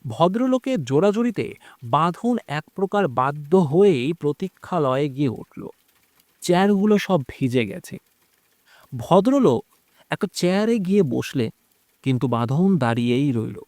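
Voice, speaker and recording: A man's voice: a little high, expressive, moderate pace, darkish tone, dry recording. A man reading a scripted, dramatic story as its narrator. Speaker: narrator of an audio horror story.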